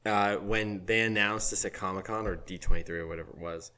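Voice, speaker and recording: Tired, hoarse-voiced man chatting. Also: lowish voice, steady tone, brisk, pushed and bright. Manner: loose, rambling storytelling with lots of laughing. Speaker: podcast co-host. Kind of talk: casual chat.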